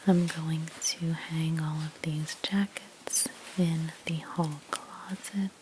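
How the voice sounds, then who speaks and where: Woman, soft-spoken ASMR roleplay narrator: breathy near-whisper, lowish pitch, very unhurried, gentle step-by-step explaining. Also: fairly even intonation, noisy background. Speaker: ASMR creator playing a house cleaner.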